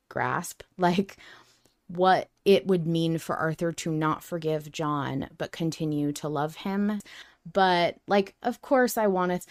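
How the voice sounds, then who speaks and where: A woman's voice: lowish voice, no echo, clean studio sound. A woman co-hosting a podcast in casual discussion, effusive and peppering her talk with "like". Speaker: podcast co-host.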